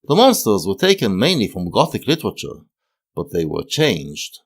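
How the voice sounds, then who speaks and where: Casting: male video-essay narrator, fairly deep, sweeping melodic delivery, analytical, with emphatic pauses. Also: huge pitch swings, breathy edge.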